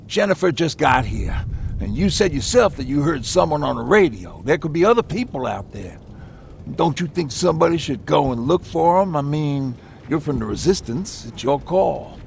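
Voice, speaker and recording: A man's voice: big pitch swings, normal speed, gravelly. An actor playing a hesitant, conscientious male survivor in a video game cutscene. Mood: uneasy, earnest. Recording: faint rumbling noise behind.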